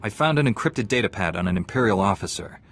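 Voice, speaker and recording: Composed, principled young male hero for a sci-fi game, earnest, on the lower side. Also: fast talker. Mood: sober, concerned. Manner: calm, principled. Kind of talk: video game voice acting. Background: music.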